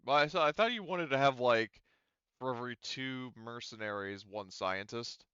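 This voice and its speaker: A man acting as game master in a tabletop roleplaying game, explaining casually and haltingly as he thinks aloud. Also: medium pitch, fairly bright tone, clear, resonant tone, no room sound, muffled recording.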